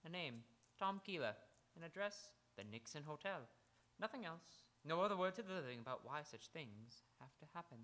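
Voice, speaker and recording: A man playing a morbid, nervy medical examiner in a radio noir drama, with dramatic, ominous, halting phrasing. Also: fairly high pitch, animated, a bit bright. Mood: morbid, intense. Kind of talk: theatrical old-time radio noir acting.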